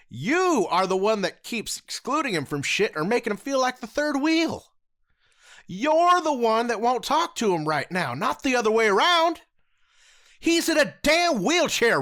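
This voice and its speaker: An earnest, protective former soldier, voiced by a woman in an audio drama. He lectures with force, and his pitch rises and falls a lot. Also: fairly bright tone. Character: earnest adult male ex-soldier ranger, protective. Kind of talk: amateur audio drama acting.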